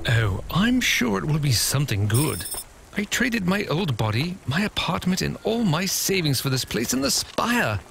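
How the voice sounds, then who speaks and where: Pompous, swooping android dreamer. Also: male voice, on the high side, very animated, normal speed, gravelly, fairly bright tone, slight background noise, exaggerated voice. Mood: airy, self-assured. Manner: pompous, blithely snobbish. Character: naive, boastful android head dreaming of wealth. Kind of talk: video game voice acting.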